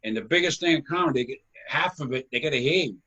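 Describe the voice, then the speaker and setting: A man with a strong Rhode Island accent hosting a casual comedy video chat, loud and projecting, laughing easily. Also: fairly high pitch, animated, racing, lo-fi sound. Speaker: comedy show host. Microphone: video call.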